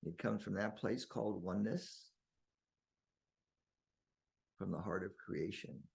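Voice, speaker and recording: Slow, low, gravelly male meditation guide. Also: a little mellow. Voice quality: husky, gravelly. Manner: slow, deliberate phrasing with long pauses.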